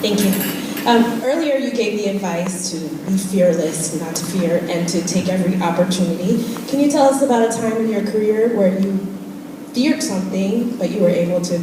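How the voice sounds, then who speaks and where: A woman in the audience asking a panel guest a question, speaking in a measured, slightly hesitant way. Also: medium pitch. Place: event hall with a live audience. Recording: distant, echoey room recording with noise.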